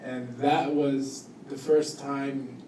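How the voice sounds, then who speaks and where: A man's voice: medium pitch, level tone, drawn-out words, mellow, far from the mic. Man speaking in an echoey, noisy room. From Cuba and Costa Rica. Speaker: guest speaker, product designer. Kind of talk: informal spoken introduction at a panel talk. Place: indoor event room with audience.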